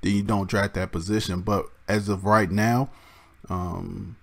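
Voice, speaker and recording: A man with a slightly raspy voice, casually explaining a game mode in a rambling way, with restarts and many ums. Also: fairly low pitch, little pitch movement, a little slow, a bit bright. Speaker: gaming YouTuber.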